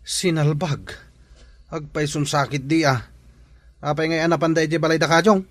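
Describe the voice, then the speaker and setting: A man's voice: a little high, normal speed, dry recording, close to an everyday voice. A man with an Ilocano accent acting as a jealous, hot-headed young jilted son in a radio drama. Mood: angry disbelief. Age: young adult.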